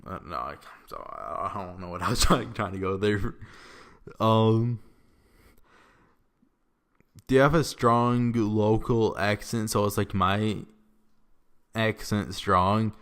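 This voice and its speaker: Slow-talking Midwestern young man. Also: lowish voice. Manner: relaxed, rambling, frequent ums and likes. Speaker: YouTuber. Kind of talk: casual Q&A vlog. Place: echoey room.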